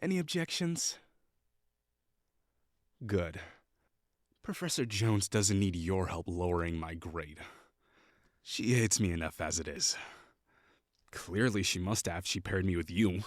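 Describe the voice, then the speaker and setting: A young man acts out a prickly perfectionist classmate with a low voice, full of sharp, sarcastic jabs. His pitch rises and falls widely as he lectures and mocks. The sound is dry and close, with no room around it. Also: lively intonation, a little slow, long pauses, no room sound. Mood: cold, condescending. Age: young adult. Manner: sarcastic, cutting, bossy. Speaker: voice actor in an audio roleplay. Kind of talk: scripted roleplay acting.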